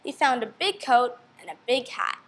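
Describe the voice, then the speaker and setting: A woman's voice: on the high side, expressive, moderate pace, fairly bright tone. A young woman reading a storybook aloud to children, expressively and with questions to her listeners. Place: indoor room.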